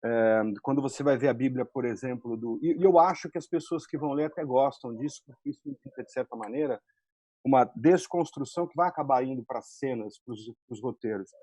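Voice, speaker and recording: A man with a Brazilian accent speaks in a casual, colloquial way as a screenwriter on a panel. His pitch is average for a man, and the sound is dry, with no room echo. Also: a little fast, mellow, husky.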